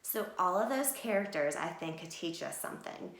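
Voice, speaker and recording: A woman's voice: lowish voice, expressive, moderate pace, fairly bright tone. A woman teacher reading a picture book to young children and explaining it simply and expressively. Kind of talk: children's read-aloud and mini lesson. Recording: echoey room.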